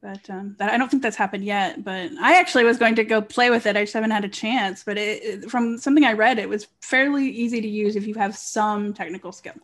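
A woman hosting a podcast, chatting casually and laughing easily, often trailing off softly. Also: medium pitch, fast, few pauses. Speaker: podcast host.

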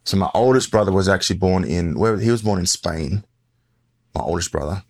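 A man's voice: sits low, brisk. A man in his forties with an Australian accent, a podcast guest telling his life story in a rambling, self-correcting way. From born in the US, raised in Western Australia. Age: 40s. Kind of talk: casual interview talk.

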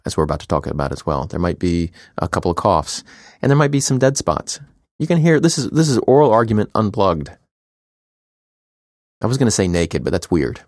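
A man with a very low voice co-hosts a casual podcast, talking in loose, rambling bursts full of restarts and quick laughs. The recording is dry and close, with no room sound. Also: darkish tone, no room sound. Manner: rambling, self-interrupting, laughs easily. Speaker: podcast co-host and editor. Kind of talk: casual chat.

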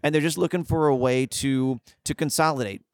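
A man's voice: a little high, steady tone, a little fast, no room sound, clean studio sound. A man with a slightly froggy voice, speaking as a podcast guest in a casual, slangy, enthusiastic and emphatic way. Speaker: podcast guest, tech company employee. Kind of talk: casual interview explanation.

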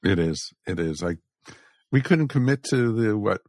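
Low-voiced man bantering as a podcast co-host. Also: animated, normal speed, a little mellow, no echo, studio quality. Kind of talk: casual banter and short interjections.

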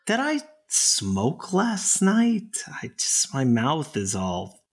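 A groggy, defensive young boyfriend in a found-footage audio drama, as an actor plays him. He drags his words slowly in a husky voice, and his pitch swings widely as he grumbles sarcastically. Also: huge pitch swings, full character voice. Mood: grumpy. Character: hungover irritable young boyfriend. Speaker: Robert, hungover boyfriend.

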